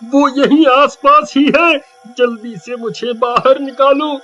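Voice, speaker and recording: A man's voice: extremely high pitch, steady tone, takes it slowly, pushed and bright, lo-fi sound, faint music behind, heavily acted. A man playing a helpless, pleading village husband in a cartoon horror story. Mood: pleading, desperate. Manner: pleading, wailing.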